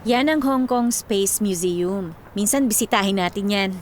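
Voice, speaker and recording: A woman's voice: medium pitch, unhurried, slight background noise, lightly acted. A Filipino actress in a radio drama playing a teasing, secretly lovelorn young woman in her twenties. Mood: casual, friendly. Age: 20s. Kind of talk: Tagalog radio drama acting. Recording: music behind the dialogue.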